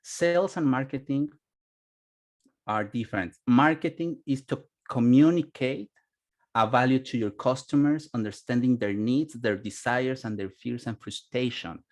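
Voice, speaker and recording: A native Spanish-speaking man with a Spanish accent gives an informal webinar presentation as a business consultant, searching for words in halting English. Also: medium pitch.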